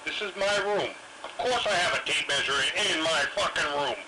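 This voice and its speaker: A man's voice: fairly high pitch, a little fast. A man talking casually over CB radio in a blunt, salty way. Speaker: CB radio operator. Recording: very noisy CB radio transmission.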